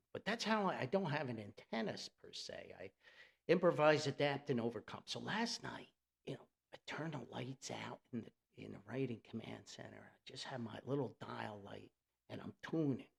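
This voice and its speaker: Animated, gravelly man telling radio stories. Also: medium pitch. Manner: enthusiastic, folksy storytelling. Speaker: podcast host and radio hobbyist. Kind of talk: casual unscripted podcast monologue.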